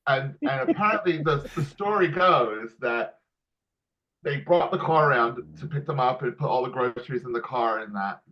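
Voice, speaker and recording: A man chats as a panelist, telling anecdotes in an informal, sweary way. His voice is mid-pitched and the audio is slightly muffled. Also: lively intonation, normal speed.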